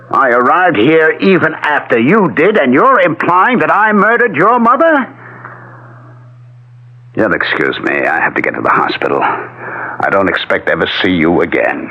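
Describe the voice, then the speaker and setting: An actor playing a defensive, cold husband under suspicion in a 1970s radio drama. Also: male voice, fairly high pitch, full character voice. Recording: dull 1970s radio broadcast.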